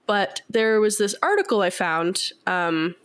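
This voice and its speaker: A woman's voice: mid-range voice, very animated, slow pace, fairly bright tone, smooth. A woman with a cold sounding congested, chatting on a podcast as she explains a science fact with lots of fillers. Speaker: podcast co-host.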